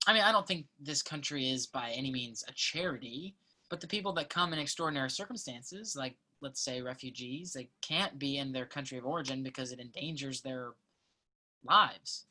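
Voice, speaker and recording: A woman reading the part of an earnest, thoughtful college student in a play, speaking in a measured, naturalistic way. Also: extremely low pitch, sharp tone, exaggerated voice. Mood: earnest, persuasive.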